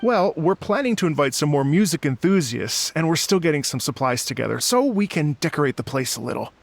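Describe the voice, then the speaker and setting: An earnest, courteous festival organizer in a video game. He has a fairly high male voice with animated intonation and slightly hesitant phrasing. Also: brisk, vocal fry, heavily acted. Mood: earnest, polite.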